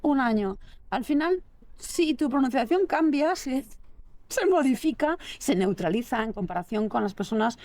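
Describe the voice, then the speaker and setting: Woman, podcast host, curious, thoughtful questions, fairly high pitch. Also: animated, moderate pace, darkish tone, dry recording. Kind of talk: casual interview conversation.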